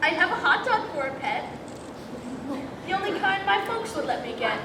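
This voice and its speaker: A woman's voice: very high-pitched, very animated, moderate pace, exaggerated voice. A teenage young woman playing a quirky, fond child, reciting a rhymed poem on stage. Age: teens. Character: child fondly presenting an oddball pet and family. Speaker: student stage actor. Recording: echoey hall, distant mic, audience noise.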